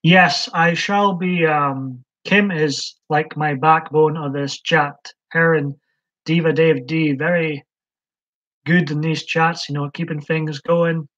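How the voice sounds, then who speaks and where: A man's voice: a little high, steady tone, takes it slowly, a bit bright. A man hosting a casual live stream, chatting hesitantly with lots of ums as he answers viewers' comments. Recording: dull lo-fi stream audio.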